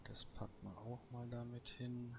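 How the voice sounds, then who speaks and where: A man's voice: medium pitch, level tone, normal speed, gravelly. Man muttering game commentary over noisy ambience. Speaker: gaming video host. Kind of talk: casual solo let's play commentary.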